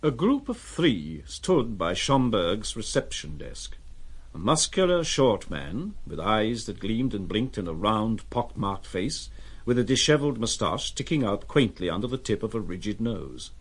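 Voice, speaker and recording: A man acting as narrator of a literary adventure drama, telling it in a measured, descriptive way with a husky voice. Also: on the low side, very animated, full character voice. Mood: measured, vivid.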